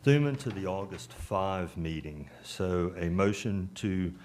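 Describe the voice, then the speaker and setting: A man chairing a town board meeting, speaking in a measured, procedural way. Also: deep voice, animated, darkish tone.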